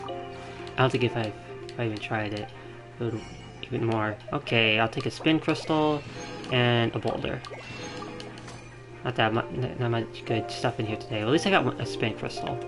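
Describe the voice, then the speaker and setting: A male game streamer talks casually through his play. His voice has an average pitch, and he rambles as he thinks out loud. Game music plays clearly behind him. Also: normal speed, long pauses. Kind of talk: casual live gameplay commentary. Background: video game music.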